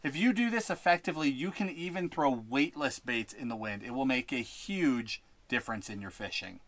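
A man's voice: mid-range voice, animated, normal speed, fairly bright tone. A young man giving fishing tips outdoors on a windy lakeshore, talking in an instructive, upbeat way into a head-mounted camera. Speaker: fishing vlogger. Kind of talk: outdoor tutorial vlog. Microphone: head-mounted action camera.

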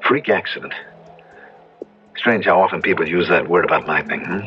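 An actor playing a weary, shaken but skeptical young rancher husband in a 1970s radio drama, talking conversationally with dramatic pauses. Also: male voice, low-pitched, highs cut off, background noise, lightly acted. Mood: shaken, animated. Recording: band-limited old radio broadcast, music underneath.

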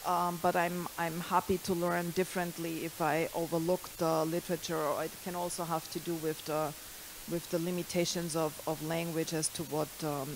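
Hesitant lecturer. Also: female voice, lowish voice, noisy background. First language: German. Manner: hesitant, many fillers and restarts. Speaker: guest lecturer. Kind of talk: university lecture. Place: lecture hall. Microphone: handheld mic.

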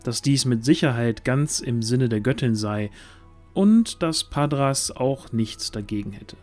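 Male storyteller over soft music. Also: medium pitch, lively intonation, unhurried. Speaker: narrator. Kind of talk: scripted storytelling narration.

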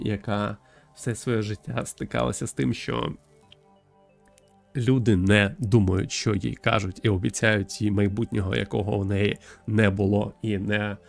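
A man in his thirties from Ukraine, thoughtfully and haltingly commenting on a visual novel as he livestreams on a close mic. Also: fairly low pitch, gravelly, a little mellow. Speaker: game streamer. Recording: music behind the voice. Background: background game music.

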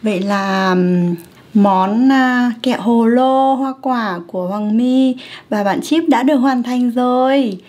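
High-voiced young woman, casual ASMR host. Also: takes it slowly, darkish tone. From Vietnam. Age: young adult. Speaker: mukbang host. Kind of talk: casual ASMR eating commentary. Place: small indoor setup with a plain backdrop.

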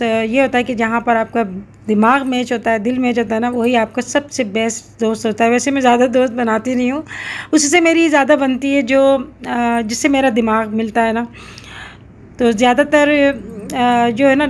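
A middle-aged woman vlogging at home, talking in an earnest, reflective way with many pauses and fillers. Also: fairly high pitch, steady tone. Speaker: cooking vlogger. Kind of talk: casual vlog voice-over.

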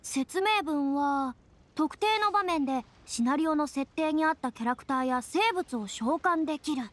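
A woman's voice: high-pitched, moderate pace, close to an everyday voice. A woman voicing a youthful, curious and earnest young lady in an anime game, with slightly theatrical, boyish phrasing. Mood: curious, thoughtful. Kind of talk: Japanese anime game voice acting. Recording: studio voice with music underneath.

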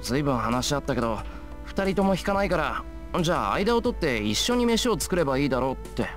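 A man's voice: on the high side, very animated, very fast, soft background music. A man voicing an earnest, flustered, apologetic teenage boy with a hesitant manner. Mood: defensive.

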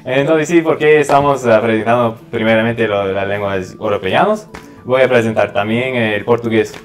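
A young man from the United States with an American accent, a podcast guest talking in a halting way with many repeated words and fillers. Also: medium pitch, faint music behind. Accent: American English. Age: 20s. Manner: hesitant, many repeated words and fillers while switching languages. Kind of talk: casual podcast chat. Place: small living room studio.

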